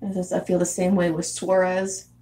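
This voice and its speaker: A woman in her twenties from San Diego, chatting casually with viewers as a vlogger, laughing often and filling pauses with "um" and "like". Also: on the low side, monotone, warm tone, slight background noise. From San Diego, California. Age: 20s. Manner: chatty, frequent laughs and fillers. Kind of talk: casual live chat reading comments. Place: house in Arizona.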